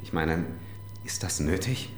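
A man's voice: sits low, measured pace, reverberant. An actor playing a nervous, evasive married man in a radio crime drama, stammering under questioning.

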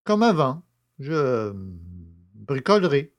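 A man's voice: on the high side, big pitch swings, slow, no room sound, exaggerated voice. A man playing a plain-spoken, stubborn poacher fresh out of prison in an audio drama.

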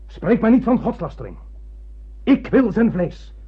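An actor playing an obsessed, commanding spaceship captain in a radio play, with grandiose, intense declamation. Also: male voice, high-pitched, big pitch swings, unhurried, slightly rough, highs cut off, exaggerated voice. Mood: brooding obsession. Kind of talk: dramatic radio play acting.

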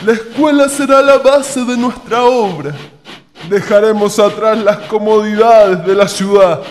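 A man's voice: sits high, unhurried, a little hiss or hum. A man playing an idealistic young writer in a radio drama, declaiming floridly. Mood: solemn, fervent. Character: idealistic, poetic young male writer founding a colony. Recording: music behind the voice.

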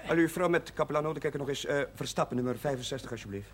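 A male actor plays a fussy village chaplain with a high voice, easily exasperated and tripping into repetitions. The old television drama soundtrack is clearly noisy. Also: rapid-fire, natural voice. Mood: alarmed, exasperated. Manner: agitated, hesitant, repeating himself. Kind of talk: television period drama acting.

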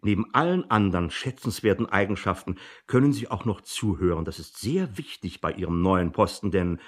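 Husky, long-winded personnel manager. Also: male voice, low-pitched, lively intonation, normal speed. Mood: affable, explaining. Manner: officious, long-winded, with hesitant asides. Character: verbose, self-important male personnel manager. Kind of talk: German satirical radio drama acting.

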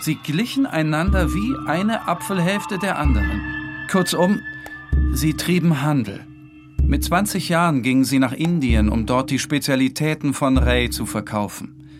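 An actor playing an isolated, disdainful man who recounts his wretched life in measured, sombre literary narration. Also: on the high side, animated, lightly acted. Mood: measured recollection. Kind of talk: literary audio drama narration. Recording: music behind the voice.

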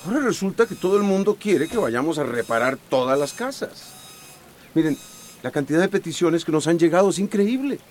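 A harried, middle-aged small-town mayor in a radio soap. His intonation is very animated and he sounds dutiful. A faint hiss sits behind him. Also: on the high side, a little fast. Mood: exasperated. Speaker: radio drama actor. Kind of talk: radio soap opera acting.

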